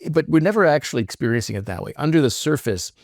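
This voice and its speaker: A man's voice: medium pitch, animated, fast, gravelly, darkish tone, no echo, clean studio sound. A man guest speaking on a podcast, explaining ideas thoughtfully with frequent "um" and "right?". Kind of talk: reflective interview talk.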